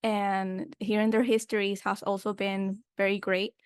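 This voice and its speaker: A young woman from Puerto Rico speaks earnestly on an online panel, stopping often on fillers. Her voice is smooth and clear. The sound is dry and close. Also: mid-range voice, level tone, measured pace, no room sound. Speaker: panelist, freelance journalist.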